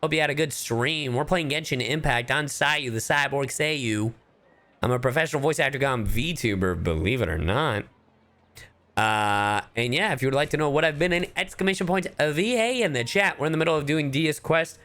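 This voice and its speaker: A man's voice: fairly high pitch, lively intonation, normal speed, fairly bright tone. A man livestreaming a video game, giving casual, blunt and joking commentary as he plays. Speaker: livestreamer, professional voice actor. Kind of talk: casual gaming stream commentary.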